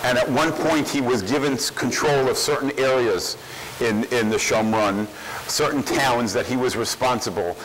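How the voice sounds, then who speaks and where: A man answers audience questions at a public event, pressing his points by repeating them. His voice sits at a middle pitch. A faint hiss runs under the recording. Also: normal speed, a bit bright, rough recording. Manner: emphatic, repeats phrases for force.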